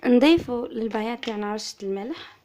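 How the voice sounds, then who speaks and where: A woman with an Algerian Arabic accent who is slightly hoarse from illness, calmly explaining a recipe as a cooking-channel host. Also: fairly high pitch, fast, slight background noise. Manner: soft, subdued, steady explaining. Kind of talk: recipe tutorial voice-over.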